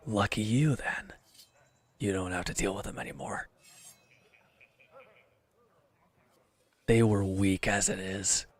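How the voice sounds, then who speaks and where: A man plays a wounded villain in an audio drama, with a low voice. He is bitter and withdrawn, answering in curt, grudging phrases as if guarding himself. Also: no echo, natural voice. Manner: curt, evasive, grudging, sighing. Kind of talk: scripted audio roleplay acting.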